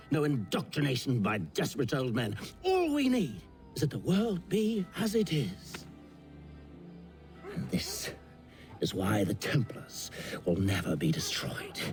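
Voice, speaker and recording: An actor playing a proud, cold older Templar father in a video game, speaking in a measured, eloquent, condescending way. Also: medium pitch. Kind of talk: dramatic video game acting.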